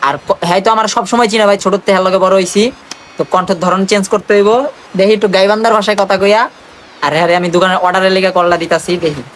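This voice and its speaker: Fast-talking young Bangladeshi man, teasing. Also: high voice, steady tone, a bit bright, a little hiss or hum. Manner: teasing, slangy. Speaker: vlogger making prank calls. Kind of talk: casual vlog chat to viewers and on calls.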